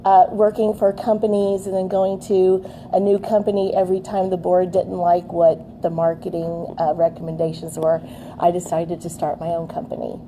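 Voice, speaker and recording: A woman with a very mellow, soft voice introduces herself briskly and professionally. Faint background noise sits under her. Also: fairly low pitch, level tone, rough recording. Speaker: marketing consultant. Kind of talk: brief self-introduction.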